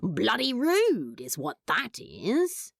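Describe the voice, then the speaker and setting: Lady doing a cocky drawling demon, super gravelly, swoopy and dramatic, audiobook character. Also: a little high, wildly swooping pitch, slow, no room sound, clean studio sound, exaggerated voice. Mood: aggrieved, sullen. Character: cocky dramatic demon, sullen yet showy, theatrically aggrieved. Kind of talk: fan fiction audiobook character voice.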